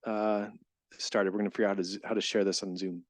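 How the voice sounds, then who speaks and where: A man organizing a workshop, speaking to the audience in a relaxed, informal way. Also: fairly low pitch, fast, no echo. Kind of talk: casual remarks to an audience.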